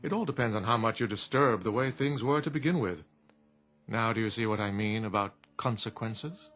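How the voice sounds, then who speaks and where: An actor playing a sly genie in a radio drama, speaking in a formal, measured and precise way. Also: male voice, lowish voice, a bit bright, soft background music. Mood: sly, patient. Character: sly, smooth-talking genie granting wishes with relish.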